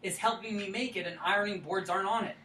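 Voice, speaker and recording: An actor in a staged reading playing an earnest, naive, childlike man, with a halting, literal-minded way of talking. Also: high voice, fairly even intonation, pushed and bright. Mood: earnest, puzzled. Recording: echoey room, distant mic, faint noise.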